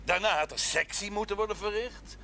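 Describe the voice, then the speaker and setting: An exasperated country doctor in a seventies TV drama. His voice is high and sharp, formal and emphatic, with faint background noise. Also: brisk. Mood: stern. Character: indignant, principled village doctor, formal and exasperated. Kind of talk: 1970s television drama acting. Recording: faint noise on old TV audio.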